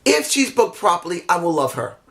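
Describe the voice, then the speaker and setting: A man giving a candid, rambling review of a wrestling show, frustrated at times, with a voice that is going hoarse. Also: high voice, very animated, fairly quick, fairly bright tone. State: losing his voice. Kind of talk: casual opinionated review.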